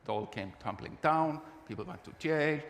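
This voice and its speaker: A man's voice: fairly high pitch, lively intonation, moderate pace, a bit bright. Icelandic man speaking in a reverberant hall. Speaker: panelist from the games industry. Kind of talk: conference panel discussion. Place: large conference event hall. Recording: reverberant hall with audible noise.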